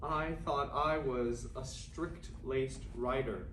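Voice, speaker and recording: An actor playing a young 1920s writer in a staged reading, measured and gently teasing. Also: mid-range voice, steady tone, close to an everyday voice. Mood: reassuring. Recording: echoey room, distant mic, faint noise.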